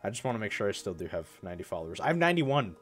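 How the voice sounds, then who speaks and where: A man's voice: on the low side, very animated, very fast, faint music behind. A young man chatting casually on a live stream, a bit congested from a cold, rambling and correcting himself as he goes.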